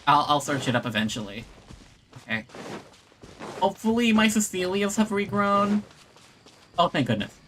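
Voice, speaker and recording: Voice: mid-range voice, very animated, normal speed, long pauses, a bit bright, slight background noise. A video game streamer giving casual live commentary, thinking aloud and muttering to themselves while playing. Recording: game music behind the voice. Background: video game music.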